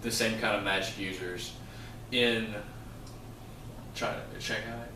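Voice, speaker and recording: A man's voice: on the low side, steady tone, slow. A man in a casual, unscripted discussion as a video co-host, with a dry, wry way of talking. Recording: roomy, distant mic, noisy background.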